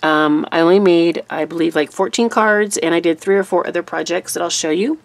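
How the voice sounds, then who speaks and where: Woman with a cold-deepened low voice. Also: fairly quick. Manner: chatty, relaxed, with fillers. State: recovering from a cold, voice deeper than normal. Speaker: papercraft YouTuber. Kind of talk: casual monthly craft recap. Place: desk in a room, overhead camera on a cutting mat.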